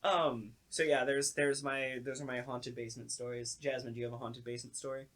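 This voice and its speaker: A man's voice: mid-range voice, flat delivery, a little fast, a bit bright. A young man with a mid-range voice talks as a podcast co-host. He tells stories in loose, conversational language. He slips into acted-out reactions as he goes. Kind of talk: casual storytelling chat.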